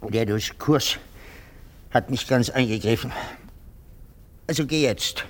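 An actor playing a pompous, patronising army captain in a theatrical radio drama, moralising and repeating himself. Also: male voice, medium pitch, takes it slowly, a little hiss or hum, lightly acted. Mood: condescending, fussy. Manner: moralising, self-satisfied, repeats himself in sudden swoops.

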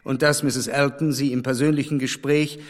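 An actor playing a sensible, principled gentleman in a radio drama, speaking directly and firmly in a reverberant room. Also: mid-range voice, level tone, lightly acted. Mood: earnest. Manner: direct, firm, measured.

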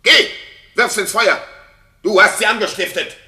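A man's voice: high-pitched, a little slow, pushed and bright, reverberant, heavily acted. An actor playing a wrathful, jealous, domineering king in a classic German radio drama, with commanding, declamatory delivery. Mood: furious, commanding.

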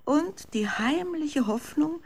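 A woman narrating a children's story in a dramatic, expressive scripted style. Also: high voice, takes it slowly.

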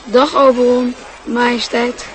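A woman's voice: on the high side, level tone, a little slow, close to an everyday voice. A woman playing a curious, naive young boy in a radio play, wide-eyed and hesitant. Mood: curious, awed. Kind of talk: Dutch radio play acting. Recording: faintly noisy radio play recording.

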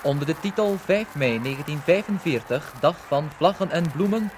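A man's voice: fairly high pitch, lively intonation, normal speed. A man giving a formal 1950s radio announcement as the broadcast announcer. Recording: noisy old 1950 radio recording.

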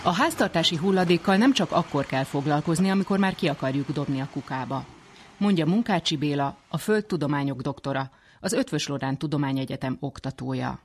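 A woman's voice: low-pitched, darkish tone, slight background noise. A woman presenting a scripted radio magazine as its editor and host.

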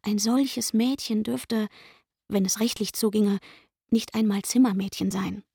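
A woman's voice: medium pitch, fairly even intonation, a little slow, vocal fry, warm tone, slightly rough, dry recording, studio quality, heavily acted. An actress playing an aggrieved young chambermaid in a literary radio play, insistent and indignant as she pours out her complaints.

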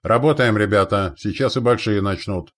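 A man's voice: fairly low pitch, moderate pace, close to an everyday voice. Man acting a roaring battle artillery commander. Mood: commanding. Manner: shouting commands.

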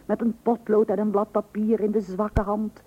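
An actress playing a dryly ironic, patient but firm housewife and mother in a radio play, with deadpan humour. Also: medium pitch, normal speed, husky, lightly acted. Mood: wry narration. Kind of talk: radio play acting with narration to the listener. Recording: muffled narrow-band old radio recording.

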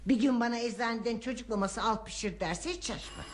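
A nagging grandmother of a radio play acted by a woman, with a fairly high voice. She mocks and scolds like a long-married housewife, exclaiming as she goes. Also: fairly quick, slight background noise, close to an everyday voice. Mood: exasperated scolding. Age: older. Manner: scolding, teasing, exclaiming often. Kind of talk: radio theatre acting.

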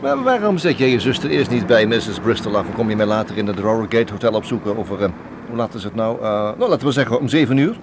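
Male police inspector, fairly low voice, measured, polite, businesslike, radio drama. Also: expressive, noisy background. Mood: friendly.

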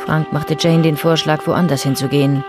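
A woman's voice: sits low, level tone, moderate pace, music in the background, close to an everyday voice. A woman narrating a crime story as a composed, observant storyteller in a scripted radio drama.

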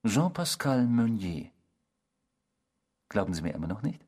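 An actor in a German radio drama playing a mysterious, smug, needling man who claims to be a murderer, with a husky voice. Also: lowish voice, big pitch swings, unhurried, a little mellow, heavily acted. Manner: needling, sardonic, self-assured.

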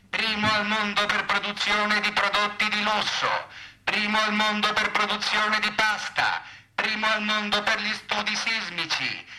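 Actor as a high, piercing, bombastic orator. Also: male voice, pushed and bright, full character voice, low-quality recording. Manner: emphatic, list-like repetition. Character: bombastic, mock-patriotic orator boasting of his country. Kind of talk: declamatory satirical stage speech.

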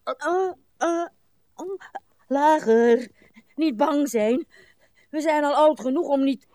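A weary old Flemish woman in a radio play. She speaks slowly and haltingly, fussing and complaining, dropping into mutters and rising into exclamations. The sound is dry and intimate. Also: sits high, mellow, dry recording. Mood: bossy, anxious. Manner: plaintive, halting, muttering asides and sudden exclamations.

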